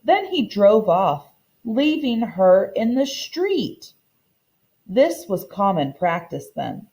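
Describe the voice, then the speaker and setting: Female teacher for a kids' read-aloud: lively intonation, soft mellow tone, simple and explanatory. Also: medium pitch, gravelly, rough recording. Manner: simple, explanatory teacher talk addressed to young children. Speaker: teacher reading a picture book aloud.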